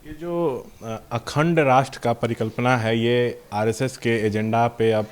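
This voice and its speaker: A man from India working as a TV news reporter, asking questions and summing up in a conversational, slightly halting way. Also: medium pitch, steady tone. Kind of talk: news interview questions and field sign-off.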